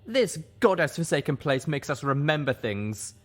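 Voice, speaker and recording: A man's voice: sing-song, normal speed. A man voicing a group of dazed, mind-controlled alicorn mares who whine and bicker with each other. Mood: whiny, bickering. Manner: high, whiny and bickering.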